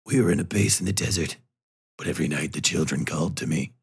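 A grim male killer with an extremely deep voice. It is thick with gravel and very husky, the words flat, deliberate and ominous, like a confession in a dark film. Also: exaggerated voice.

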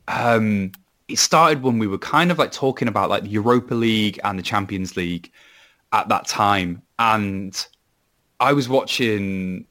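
Welsh man, low voice, rambling casual guest, lots of restarts. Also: fairly bright tone. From Wales. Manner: rambling, many restarts and laughs. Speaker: podcast co-host, guest. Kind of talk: casual chat.

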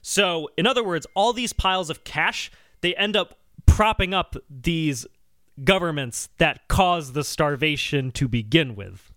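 A male podcast host talks in a high, bright voice that swings up and down as he explains. He is sardonic and emphatic, casually dropping swear words, and the sound is dry. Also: animated, a little slow, dry recording.

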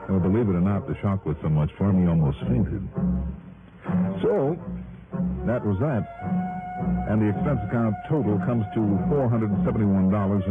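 A man's voice: lowish voice, animated, normal speed, pauses often, natural voice. An actor playing a self-assured American investigator, narrating his case in a wry, conversational 1960s radio style. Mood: wry, satisfied. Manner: wry, conversational, punchy storytelling. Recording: tinny old radio broadcast with music.

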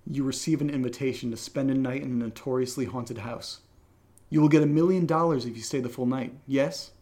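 A man's voice: medium pitch, a little fast, dark tone. A man hosting a podcast in casual question-and-answer banter, with a teasing, playful manner. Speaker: podcast host.

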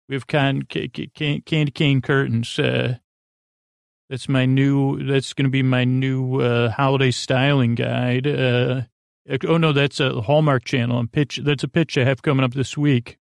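Creaky, meandering sleep-podcast host man. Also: level tone, measured pace, no room sound, studio quality. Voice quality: creaky, low-key drawl. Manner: meandering, full of ums and self-corrections. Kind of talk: rambling soothing monologue.

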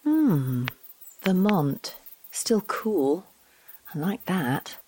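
A woman's voice: lowish voice, drawn-out words, slightly rough, dry recording, full character voice. A woman playing a restless, wry partner bantering at home in a naturalistic audio drama. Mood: wry, playful. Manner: wry, animated, complaining.

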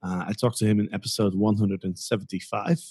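A man hosts a podcast interview in an easygoing, conversational way. His voice sits somewhat low. He tends to restart his phrases and work through numbers aloud. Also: fairly even intonation, fast, a little mellow, slightly rough. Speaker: podcast host. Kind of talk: casual interview.

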